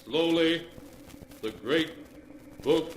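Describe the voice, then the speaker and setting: An actor playing an ominous, solemn storyteller, narrating in portentous 1940s radio style on a vintage recording. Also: male voice, a little high, noisy background. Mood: slow suspense. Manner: portentous, deliberate, theatrical. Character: ominous, solemn male storyteller of dark tales.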